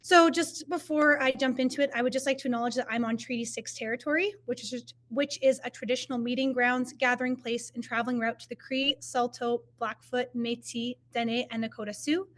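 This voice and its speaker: A woman's voice: on the high side, steady tone, brisk, hardly any pauses, a bit bright. A young woman from Canada giving an online conference talk about her research, informal and earnest, with frequent fillers and self-corrections. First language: English. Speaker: master's student presenting research. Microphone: computer mic on a video call.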